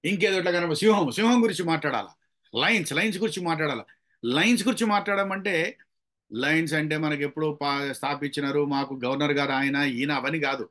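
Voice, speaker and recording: Brisk, bright-voiced man teaching. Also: a little high. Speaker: public speaking trainer. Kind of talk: interactive training lecture with examples.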